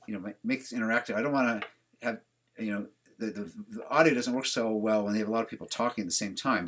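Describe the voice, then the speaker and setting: A man with a slightly husky voice leads a class over a video call. He talks in a loose, conversational way, often asking his students questions. His pitch sits in an ordinary middle range. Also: a bit bright. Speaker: teacher. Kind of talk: online religious class.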